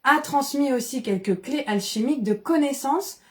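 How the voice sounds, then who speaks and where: A woman from the Paris region, explaining ideas in casual, animated conversation with frequent restarts. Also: high voice, normal speed. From Paris region, France. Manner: animated, many restarts and fillers.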